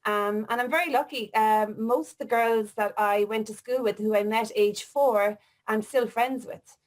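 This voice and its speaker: Female interview guest, forties, Limerick Irish accent, fairly high voice, casual personal storytelling. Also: moderate pace. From Limerick, Ireland. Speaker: interview guest, wellness coach.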